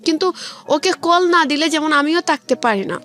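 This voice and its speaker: A woman's voice: high voice, normal speed, a bit bright. A young woman with a Bangladeshi accent telling her life story in a colloquial way during a radio interview, often quoting conversations directly. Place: radio studio. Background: background music.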